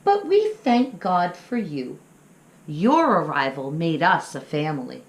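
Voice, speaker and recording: A woman voicing a gentle, patient mother wolf in a storybook, explaining things reassuringly. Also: low-pitched, sing-song, slow, heavily acted. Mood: tender. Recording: roomy, echoing sound.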